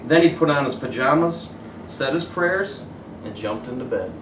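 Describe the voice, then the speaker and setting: A man reading a children's story aloud as a teacher, in an animated read-aloud style, stopping to point out pictures. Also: mid-range voice, normal speed. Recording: roomy echo, distant mic, faint noise.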